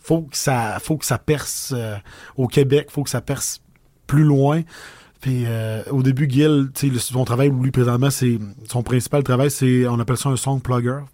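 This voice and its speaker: Male interview guest, Québécois accent, casual and halting, mid-pitched voice, dry close recording. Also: steady tone, mellow, dry recording. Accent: Québécois French. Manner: hesitant, many pauses and restarts.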